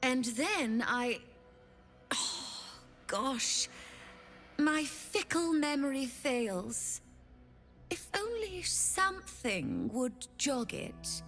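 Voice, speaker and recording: A woman's voice: sits high, sing-song, drawn-out words, a bit bright, soft background music, exaggerated voice. A woman playing a sly, greedy female devil who trades infernal bargains in a video game, theatrical, teasing and mock-courteous. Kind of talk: video game character acting.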